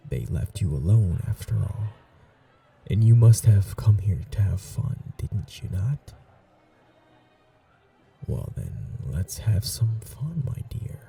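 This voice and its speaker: A slow, seductive ancient vampire lord, played by a man in an ASMR roleplay, his low voice dark, gravelly and breathy with soft whispers. It sounds a little distant from the mic, with faint music behind, and his pitch rises and falls in lively swings. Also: full character voice. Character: ancient royal vampire lord, suave, predatory, flirtatious.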